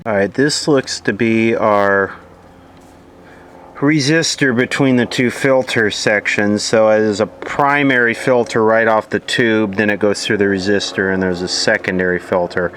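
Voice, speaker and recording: Slow-talking man thinking aloud over background noise. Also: lowish voice, fairly bright tone. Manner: halting, thinking aloud while working. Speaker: repair video host, vintage electronics hobbyist. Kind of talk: casual off-the-cuff repair commentary. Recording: clear background noise from a workbench recording. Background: ambient noise, passing aircraft.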